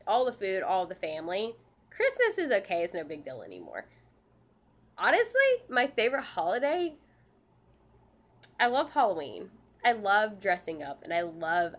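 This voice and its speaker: A woman with a stuffed-up nose and a scratchy throat from a sinus infection, hosting a casual live question-and-answer stream, speaking in a halting, earnest way with frequent restarts and ums. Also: medium pitch. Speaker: service dog handler and channel host.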